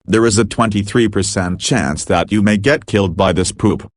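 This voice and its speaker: A man's voice: on the low side, normal speed, dry recording, studio quality. A man narrating a scripted mock emergency broadcast as an announcer, matter-of-fact with casual asides.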